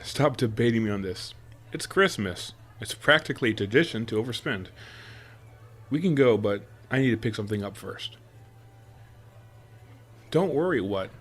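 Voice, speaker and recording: A man's voice: mid-range voice, natural voice. A man playing an affectionate, playful boyfriend in a roleplay audio drama, talking in a teasing, coaxing way. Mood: insistent, teasing. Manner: teasing, chuckles often, coaxing. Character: affectionate playful boyfriend spoiling his sweetheart with surprises.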